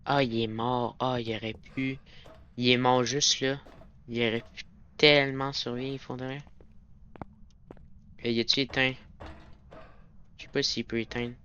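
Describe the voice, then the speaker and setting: Bright-voiced Québécois gamer man. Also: mid-range voice, little pitch movement, a little slow, long pauses, clear, resonant tone. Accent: Québécois French. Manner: chatty, muttering to himself while playing. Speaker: streamer playing a ghost-hunting game. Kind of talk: casual gameplay commentary. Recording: slightly dull recording with faint noise.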